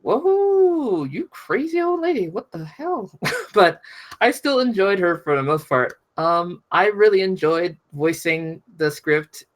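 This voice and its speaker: A woman giving casual feedback in an online voice chat, hesitant and broken in phrasing, with animated emphasis. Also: medium pitch, slow pace. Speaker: table-read voice performer giving feedback. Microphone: push-to-talk in online voice chat.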